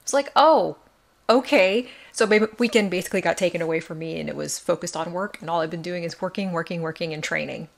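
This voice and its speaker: A woman with a lowish, raspy voice co-hosting a podcast. She sounds worn out from talking but still speaks quickly and with emphasis. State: tired from talking. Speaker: podcast co-host. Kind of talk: casual chat.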